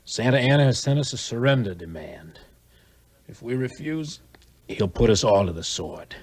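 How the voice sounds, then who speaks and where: A man acting a grave, ailing American fort commander with a measured delivery in a 1950s audio play. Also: medium pitch, lively intonation, darkish tone, breathy edge. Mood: grim, weary.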